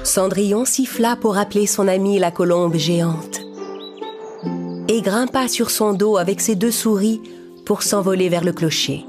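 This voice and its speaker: A woman's voice: medium pitch, a little slow, music in the background. A woman narrator reading a scripted children's fairy tale.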